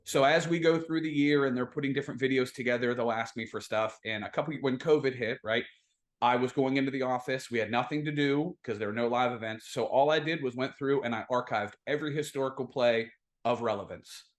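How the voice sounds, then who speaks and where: An American man chats as a guest insider on a football podcast. His pitch is average for a man, and he tells his stories in casual, conversational speech. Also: a little fast. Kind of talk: casual sports chat and anecdotes.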